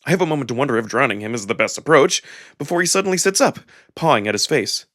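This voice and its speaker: A man's voice: mid-range voice, expressive, fast, fairly bright tone, dry recording, clean studio sound. A man giving a dramatic first-person narration of a visual novel story. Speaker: narrator and voice performer.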